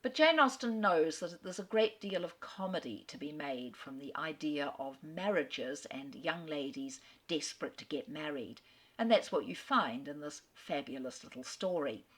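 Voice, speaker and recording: Aussie woman talking books, lowish voice, keen and measured. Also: expressive, fairly bright tone. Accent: Australian. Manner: measured, enthusiastic storyteller. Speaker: literary speaker. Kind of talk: lecture on an author.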